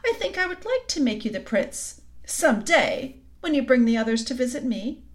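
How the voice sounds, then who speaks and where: Sly queen, coaxing and cold, read by a woman; high, lively voice. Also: normal speed. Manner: coaxing, silky, suddenly sharp. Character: sweetly scheming cold queen, tall and commanding.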